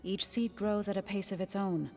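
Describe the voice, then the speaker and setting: Gentle wise woman mentor played by an actress, echoing. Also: mid-range voice, steady tone, normal speed, natural voice. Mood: gentle, reassuring. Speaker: game character actor. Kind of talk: dramatic game cutscene acting. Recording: reverberant hall, music behind.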